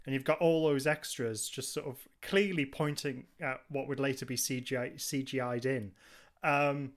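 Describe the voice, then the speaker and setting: A man co-hosting a podcast in casual review chat, rambling haltingly and chuckling as he goes. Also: mid-range voice, steady tone. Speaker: podcast co-host.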